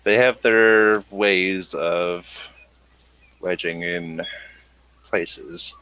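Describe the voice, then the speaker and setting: Male game master, very deep and slow, improvised tabletop exposition with halting phrasing. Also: steady tone, sharp tone, clear, resonant tone, no room sound, rough recording.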